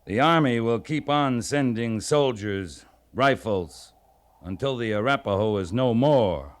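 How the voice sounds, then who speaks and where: An actor playing a resolute American army captain in an old-time radio western, speaking in a measured, authoritative way. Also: male voice, medium pitch, lively intonation, lightly acted. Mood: firm, persuasive. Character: resolute, plain-spoken U.S. Army cavalry captain in the Old West. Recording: vintage radio drama recording.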